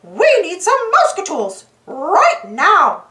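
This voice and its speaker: A woman voicing a cheerful, helpful male cartoon mouse, in a high, bright, eager tone. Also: huge pitch swings, takes it slowly, full character voice. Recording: roomy echo.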